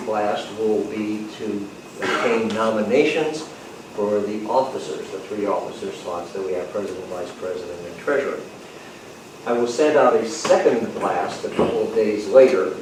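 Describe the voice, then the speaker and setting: A man making a club announcement at a meeting in a halting, procedural way, with drawn-out hesitations. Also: fairly low pitch. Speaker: club officer running the election process. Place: indoor club meeting room. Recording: echoey noisy meeting room, far mic.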